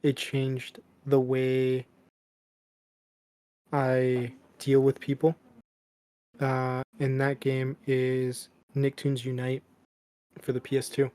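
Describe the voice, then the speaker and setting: A young man co-hosting a casual podcast, talking in a flat, deadpan monotone. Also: medium pitch, slow, pauses often, smooth, no room sound. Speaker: podcast co-host. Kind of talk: casual chat.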